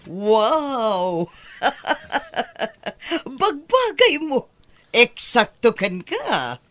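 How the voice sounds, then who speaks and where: A woman's voice: fairly high pitch, wildly swooping pitch, extremely slow, fairly bright tone, heavily acted. A woman acting a wealthy, doting, forward lady in an Ilocano audio drama, with a coquettish, sighing delivery. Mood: flirtatious, coaxing.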